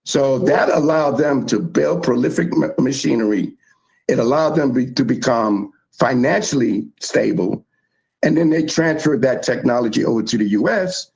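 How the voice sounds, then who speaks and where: A man from the South Bronx, telling history in animated, emphatic casual chat as a show host. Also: mid-range voice, normal speed, vocal fry, rough recording. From South Bronx, New York.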